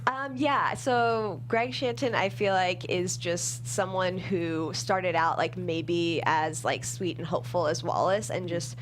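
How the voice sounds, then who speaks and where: A woman with a slightly low voice gives a casual, self-deprecating interview as a writer. She is chatty and says "like" all the time. A faint hum and noise sit behind her. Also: steady tone, unhurried, few pauses. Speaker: screenwriter being interviewed.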